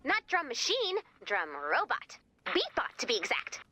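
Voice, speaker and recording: A woman playing a perky, excitable robot who gushes like a nerdy music superfan in a video game. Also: high-pitched, a little slow, a little hiss or hum, full character voice. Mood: earnest, proud. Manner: gushing, quippy, theatrical. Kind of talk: video game character dialogue.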